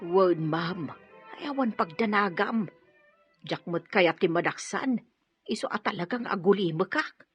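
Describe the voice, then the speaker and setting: Flustered housemaid, slow and husky, always sir and ma'am, lo-fi sound. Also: female voice, on the low side, animated, faint music behind, exaggerated voice. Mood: dutiful. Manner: deferential, flustered. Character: nervous middle-aged female housemaid, eager to please. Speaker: actress in an audio drama. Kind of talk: radio drama acting.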